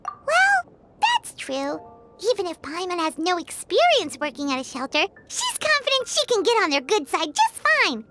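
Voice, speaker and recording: A woman voicing a tiny, excitable childlike fairy companion in a game, with a squeaky voice and chirpy, expressive manner. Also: normal speed, heavily acted. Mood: confident. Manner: chirpy, expressive, speaks of herself in third person. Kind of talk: English game dub voice acting. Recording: loud background music.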